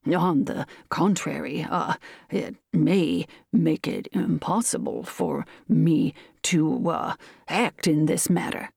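A woman voicing a flustered, courteous colonel and lawyer in a storybook reading, with halting, stammering, formal speech. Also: on the low side, creaky, a little mellow, husky, no echo, studio quality, exaggerated voice. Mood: stiff, hesitant.

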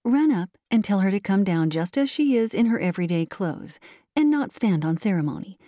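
Woman as smug charity lady, mellow tone, genteel, condescending, storybook character. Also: medium pitch, big pitch swings, moderate pace, no room sound, studio quality, lightly acted. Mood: smug politeness. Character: self-satisfied female missionary society caller.

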